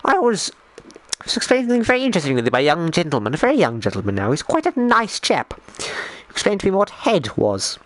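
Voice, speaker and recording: A man voicing a genteel, bumbling 1920s English gentleman, rambling in old-fashioned posh phrases on a live stream, hesitant and repeating himself. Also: sits high, wildly swooping pitch, a little fast, no echo, heavily acted. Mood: scandalised. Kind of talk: in-character live stream chat while gaming.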